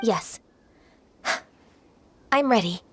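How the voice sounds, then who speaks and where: A woman playing a gentle, earnest young half-divine archer in a video game. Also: a little high, lively intonation, extremely slow, slight background noise. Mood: meek, earnest. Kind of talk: English video game voice acting. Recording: loud game music behind. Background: loud music, battle crashes.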